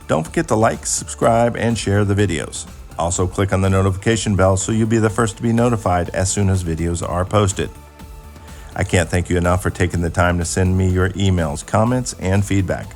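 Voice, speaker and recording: Deep-voiced male channel host. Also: darkish tone. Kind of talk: scripted sign-off narration.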